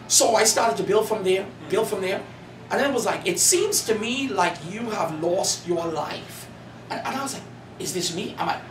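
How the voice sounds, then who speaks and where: A man in his thirties from the Bahamas, an author and pastor telling his life story in earnest, building to animated emphasis at a cafe event. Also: sits high. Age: 30s. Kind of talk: personal testimony at a bookstore event. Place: coffee cafe in a shopping mall. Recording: roomy, distant, noisy cafe recording.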